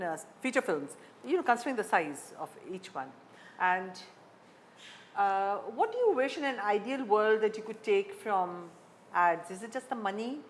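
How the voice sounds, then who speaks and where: A woman interviewing a guest in a conversational style. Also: medium pitch. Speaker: interviewer, journalist. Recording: faint background noise.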